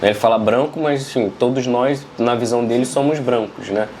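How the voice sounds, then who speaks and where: A Brazilian man explaining the meaning of samba lyrics in a measured way, often tagging "né?". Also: medium pitch, a little mellow, slight background noise. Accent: Brazilian Portuguese. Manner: measured, explanatory, many "né?" tags.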